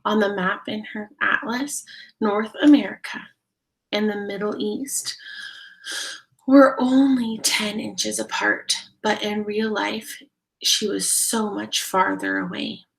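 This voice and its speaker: Female read-aloud teacher: slow, creaky delivery, explains carefully for kids. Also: medium pitch, poor audio. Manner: deliberate, explanatory, addressing children. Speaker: teacher reading a picture book aloud to children. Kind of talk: read-aloud storytelling with questions to young listeners.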